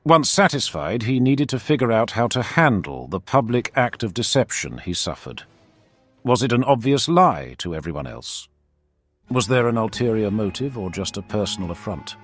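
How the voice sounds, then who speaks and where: A man narrating a fantasy war story in a scripted, dramatic storytelling style. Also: lowish voice.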